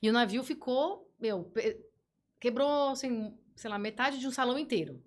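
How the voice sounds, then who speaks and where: A woman guest on a podcast, telling work stories in a casual, lively way with frequent restarts. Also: a little high, moderate pace. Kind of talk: casual storytelling.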